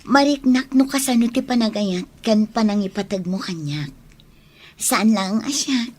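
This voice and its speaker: A woman playing a soft-hearted, hopeful young woman in love in an Ilocano radio drama. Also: mid-range voice, a little slow, lightly acted. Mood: tender.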